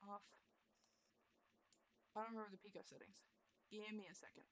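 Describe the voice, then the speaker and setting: A woman's voice: mid-range voice, little pitch movement, fairly quick, background noise. A young woman streaming while she makes music, rambling and thinking aloud as she works, with lots of restarts. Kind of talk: casual live-stream chat while working.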